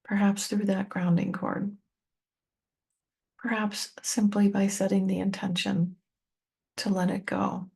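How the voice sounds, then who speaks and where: Husky, creaky woman guiding a meditation. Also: medium pitch, flat delivery. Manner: gentle, unhurried instruction in short phrases with pauses. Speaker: meditation teacher and tapping coach. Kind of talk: guided meditation, addressing the listener.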